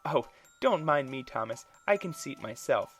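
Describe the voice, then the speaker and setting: A haughty young noblewoman drips venom with every word, as a storyteller puts her on. Her voice sits high and swoops up and down as she mocks. A faint music bed plays under the told tale. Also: expressive, normal speed. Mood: sneering. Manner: sneering, mocking.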